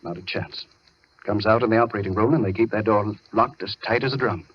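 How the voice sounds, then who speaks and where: An actor playing a grim, resigned captive doctor, speaking earnestly and articulately in an old radio thriller. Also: male voice, on the low side, fairly quick, natural voice. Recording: muffled noisy old radio recording.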